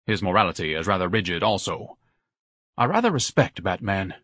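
Guy doing a pompous stern know-it-all man for an audiobook, big swoops, husky, super dry sound. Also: low-pitched, sing-song, brisk, fairly bright tone, no room sound, full character voice. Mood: solemn, lecturing. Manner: orator-like, stern, solemn, florid diction. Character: pompous, bombastic, scholarly man with grand political schemes. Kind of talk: audiobook character acting.

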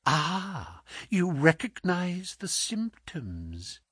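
A man's voice: a little high, wildly swooping pitch, extremely slow, a bit bright, slightly rough, dry recording, studio quality, pitched above the performer's own voice, heavily acted. A man voicing a gloating, sneering villain in an audiobook story, with an insistent, mocking manner. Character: gloating, sneering villain visiting a sick enemy. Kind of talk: audiobook character acting.

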